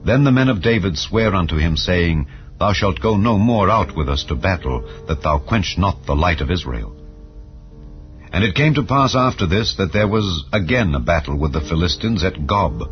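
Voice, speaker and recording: A man narrating scripture in a solemn, dramatized style, with measured, declamatory delivery of archaic English. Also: low voice, lively intonation. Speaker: audiobook Bible narrator. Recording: dull, lo-fi audio under music. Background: background music.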